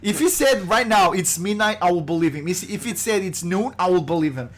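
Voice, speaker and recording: A man's voice: high voice, animated, brisk, slight background noise. A man hosting a casual podcast livestream, joking and loose with a groggy voice. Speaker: podcast host.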